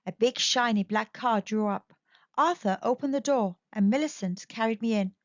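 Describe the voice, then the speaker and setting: A woman's voice: a little high, normal speed. A woman narrating a children's story expressively from a script as a storyteller. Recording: slightly dull, echoey home recording.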